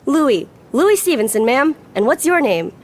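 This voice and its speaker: A woman's voice: high voice, sing-song, measured pace. A woman voicing a polite, earnest, naive young boy in an audio drama, deferential and wide-eyed. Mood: polite, hesitant.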